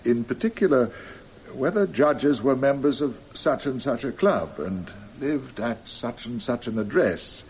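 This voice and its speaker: Creaky, pedantic judge. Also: male voice, medium pitch, a little mellow. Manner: formal, dry, pointed. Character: pedantic, sceptical male judge. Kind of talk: radio drama courtroom dialogue. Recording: dull, faintly noisy radio broadcast copy.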